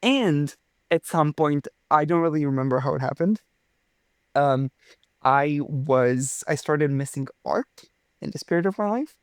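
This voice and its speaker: Gravelly-voiced enthusiastic young man from Romania. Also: a little high, animated, measured pace, no echo, studio quality. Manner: enthusiastic, theatrical phrasing. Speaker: physicist guest. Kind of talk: casual interview storytelling.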